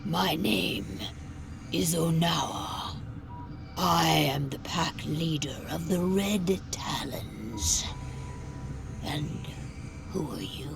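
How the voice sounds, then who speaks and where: Captive werewolf matriarch for a game: deep, very slow, breathy and gravelly, proud and vengeful, music underneath. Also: female voice, animated, exaggerated voice. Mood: wary, probing. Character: proud, vengeful female werewolf tribe leader held captive. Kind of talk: dramatic video game acting. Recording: music behind the voice.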